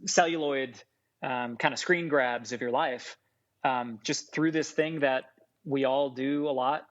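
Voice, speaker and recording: A man who grew up speaking Farsi, a food scientist and chef, talking casually as a podcast guest in a rambling, animated way with lots of fillers. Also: mid-range voice, unhurried. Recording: dull lo-fi sound.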